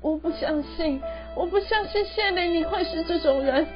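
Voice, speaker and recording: A woman's voice: very high-pitched, moderate pace. A teenage girl playing a proud, emotional young city wife who feels wronged, in an amateur radio drama with melodramatic delivery. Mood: hurt, pleading. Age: teens. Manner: melodramatic, code-switching English words into speech. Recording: muffled lo-fi recording with background music.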